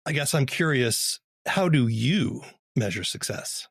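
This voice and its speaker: A man's voice: mid-range voice, animated, a little slow, gravelly, husky, no room sound, clean studio sound. A man hosting an interview, putting questions to his guests in a conversational way.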